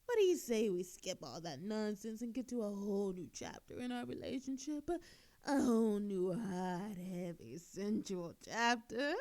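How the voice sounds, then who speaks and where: Woman acting a high, slow, flirtatious lady thief. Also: a little mellow. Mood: smug, suggestive. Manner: teasing, theatrical, sultry drawl. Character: obnoxiously flirtatious cocky female thief, teasing. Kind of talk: flirtatious audio roleplay drama.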